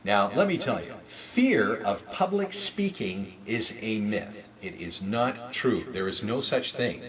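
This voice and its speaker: A man's voice: lowish voice, expressive, slow, a crowd in the background. A man presenting a scripted instructional guide in an emphatic, persuasive and carefully enunciated way. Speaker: presenter of a retail announcing guide.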